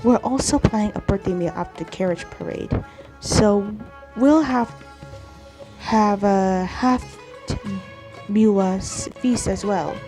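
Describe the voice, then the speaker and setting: A woman gaming streamer reading dialogue aloud during a playthrough, halting and stumbling, often repeating words. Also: mid-range voice, dark tone. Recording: game music behind the voice. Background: video game music.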